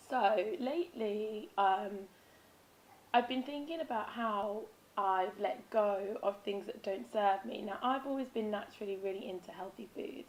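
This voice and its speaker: A woman's voice, hoarse and raspy from illness, talking in a loose, wandering way with plenty of ums. Her pitch sits in the middle of a woman's range. A faint hiss of noise runs underneath. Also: a little mellow, rough recording. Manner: rambling, with many ums and self-corrections. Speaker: vlogger. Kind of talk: casual spiritual vlog talk.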